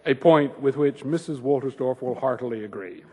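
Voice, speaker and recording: A man giving a scripted, formal introduction to a lecture, with a measured delivery and dry humour. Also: medium pitch, mellow, a little hiss or hum. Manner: measured, formal, dryly humorous. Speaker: host introducing a guest lecturer. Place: large lecture hall at a university. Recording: echoey hall, slightly muffled voice.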